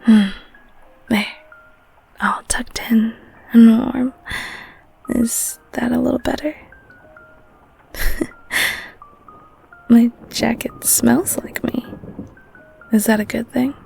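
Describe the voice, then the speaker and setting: A woman plays a devoted, clinging girlfriend in an ASMR audio roleplay. Her voice is very breathy and whispery, slightly high, with a creaky edge. She coos tenderly and protectively, as if to someone held close, over soft music. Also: fairly even intonation, full character voice, rough recording. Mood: tender, playful. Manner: tender cooing, gentle and unhurried. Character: loving clingy girlfriend, secretly eldritch being, soothing and protective. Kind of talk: ASMR audio roleplay, intimate one-sided scene.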